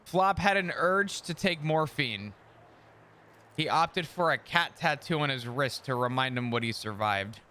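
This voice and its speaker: A man's voice: fairly high pitch, expressive, a little slow, sharp tone, slight background noise. A man streaming a roleplay game, chatting in character in a casual, swearing manner. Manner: casual, swears often, chuckles.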